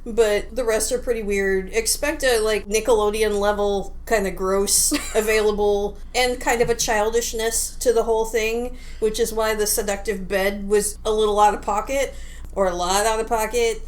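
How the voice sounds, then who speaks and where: A woman's voice: mid-range voice, steady tone, normal speed. A woman chatting casually and playfully as a co-host of a video game podcast.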